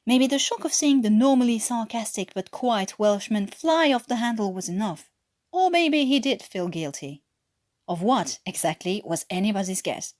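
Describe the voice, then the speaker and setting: A woman from France narrates fiction expressively, her pitch moving a lot around a medium register. Her French accent leans toward an attempted English one. The recording is close and dry. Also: expressive, moderate pace, darkish tone, no room sound. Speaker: amateur podfic narrator. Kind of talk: expressive scripted story narration.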